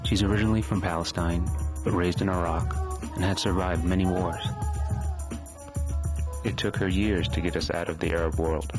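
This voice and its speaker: A young man narrating a documentary in a calm, reflective, questioning way. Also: sits low, normal speed, long pauses. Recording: voice-over with loud music.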